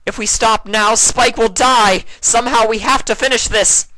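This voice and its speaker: Man acting a forceful, high-pitched regal mare princess. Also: steady tone, moderate pace, no room sound, poor audio. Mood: urgent, commanding. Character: commanding regal female pony princess, urgent.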